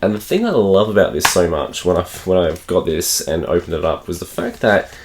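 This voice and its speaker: An Australian man with a croaky voice from a cold, chatting enthusiastically to camera about games he picked up and laughing often. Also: low-pitched, lively intonation, brisk, noisy background. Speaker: gaming channel host. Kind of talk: casual unboxing chat to camera.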